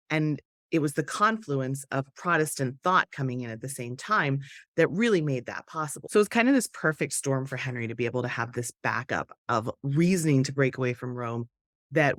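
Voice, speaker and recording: Hoarse, chatty woman podcaster. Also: very deep voice, no echo, clean studio sound. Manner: chatty and enthusiastic, talks straight to viewers. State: hoarse, lost her voice. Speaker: history podcast host. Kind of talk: casual educational talk to camera.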